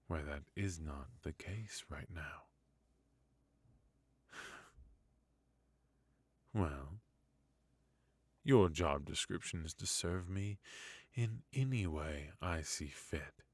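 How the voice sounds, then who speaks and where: Haughty, indulgent royal tutor for fantasy ASMR , played by a man: male, deep, unhurried, formal and quietly bitter. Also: slightly rough, no room sound, exaggerated voice. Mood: imperious, aggrieved. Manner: formal, measured, wry, with musing hums and soft chuckles. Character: forgotten adult male royal mentor, resentful, haughty yet indulgent. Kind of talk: intimate ASMR fantasy roleplay.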